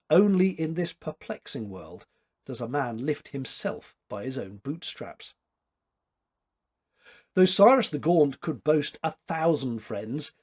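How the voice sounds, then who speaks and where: A man in his fifties reads literary fiction aloud in a measured, expressive storytelling way. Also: medium pitch, slightly rough. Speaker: amateur story reader. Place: small domestic room, indoors.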